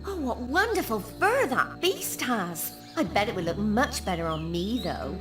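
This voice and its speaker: A woman playing a vain, sharp-tongued mistress of a dark lord in a video game. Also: medium pitch, big pitch swings, unhurried. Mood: covetous. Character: vain, haughty, threatening mistress of a dark overlord. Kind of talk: video game character acting. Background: music.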